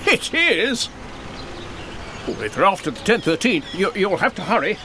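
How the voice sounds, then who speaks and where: A man's voice: sits high, very animated, normal speed, noisy background. An actor playing a polite, helpful village man in an audio drama.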